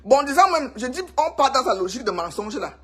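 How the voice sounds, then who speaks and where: A woman's voice: high-pitched, big pitch swings, a little fast, slightly rough. A woman from Cameroon with a Cameroonian French accent, talking to her viewers in a casual, emphatic gossip monologue and repeating herself for effect. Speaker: vlogger.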